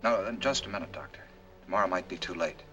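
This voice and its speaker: An actor in a 1940s film playing a polite, hesitant man seeking a doctor's help, speaking haltingly. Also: sits low, lo-fi sound. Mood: anxious, downcast. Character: polite, hesitant man posing as troubled patient. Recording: vintage film soundtrack, loud music behind.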